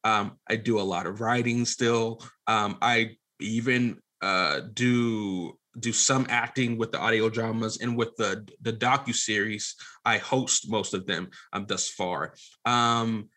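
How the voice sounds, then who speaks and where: A man's voice: fairly low pitch, steady tone, slow pace, sharp tone. A man speaking as an interview guest in a casual, colloquial, earnest and self-deprecating way. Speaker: podcast guest, audio drama producer and director.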